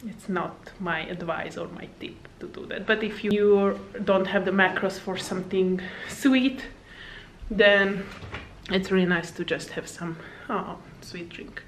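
A woman's voice: mid-range voice, a little slow, slight background noise. Chatty Hungarian-accented woman vlogging at home. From Slovakia. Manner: rambling, chatty, frequent laughs and fillers. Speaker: fitness vlogger and coach. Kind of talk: casual chat to camera. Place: home living room, indoors. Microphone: camera on table at arm's length.

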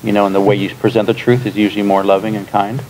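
Guy in his 30s, pastor teaching a class, lowish voice, chatty and loose, noisy room mic. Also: fast talker. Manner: relaxed, chatty, frequent fillers and laughs. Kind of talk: casual teaching discussion. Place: church classroom, indoors.

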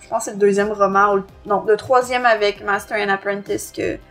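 A woman's voice: mid-range voice, steady tone, fairly quick, a bit bright, soft background music. A woman with a Quebec French accent co-hosting a podcast, reviewing a book in a somewhat hesitant, self-correcting way. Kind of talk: book review, plot summary and discussion.

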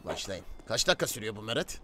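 A man's voice: on the low side, very animated, racing, a crowd in the background. A young man chatting casually in a game roleplay, bossy and slangy, swearing as he orders people around. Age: young adult. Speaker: gaming streamer playing a robbery gang boss.

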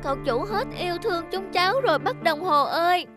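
A woman's voice: very high-pitched, expressive, a little fast, a bit bright. A woman voicing a hurt, childlike talking shoe in a cartoon, plaintive and reproachful. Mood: tearful. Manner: plaintive, emotive. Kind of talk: cartoon dubbing. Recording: music behind the voice.

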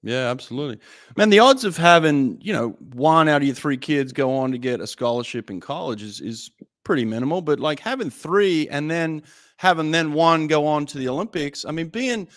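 A man hosting a podcast interview, asking long, relaxed questions and backing the guest with quick yeahs, on headphones with a studio mic. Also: fairly high pitch. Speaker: podcast host. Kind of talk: casual interview chat. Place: office. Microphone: headset and studio mic on video call.

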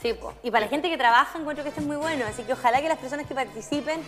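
Young chilean woman cohost, high voice, fast and bubbly, music in the studio. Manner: bubbly, chatty. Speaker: TV morning show co-host. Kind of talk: lively studio chat. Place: TV studio kitchen set. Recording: studio with long reverb and music behind.

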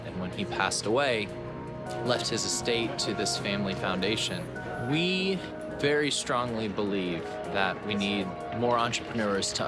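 A man in his thirties, a foundation director, speaking earnestly and steadily in an interview inside an event tent. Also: fairly low pitch. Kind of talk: documentary interview. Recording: interview under loud music. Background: loud music.

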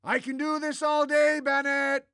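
An actor playing a smug, theatrical, easily exasperated older man from the future in an animated comedy. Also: high-pitched, measured pace, gravelly, no room sound, studio quality, full character voice. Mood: gloating. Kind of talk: animated comedy character acting.

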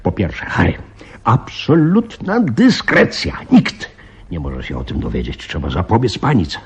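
An actor in a radio play voicing a nervous police chief, speaking in a hesitant, halting way. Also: male voice, fairly low pitch, huge pitch swings, breathy edge, exaggerated voice. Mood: urgent, confiding. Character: nervous police chief briefing his trusted deputy, growing frightened. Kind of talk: Polish radio play acting. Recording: dull, muffled radio drama recording.

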